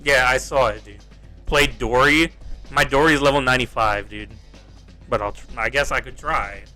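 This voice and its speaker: A man's voice: mid-range voice, normal speed, sharp tone, soft background music. A young Australian man livestreaming a mobile game, chatting casually to viewers in a rambling, exasperated way, swearing and repeating himself. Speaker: gaming streamer. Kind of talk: casual livestream chat while playing.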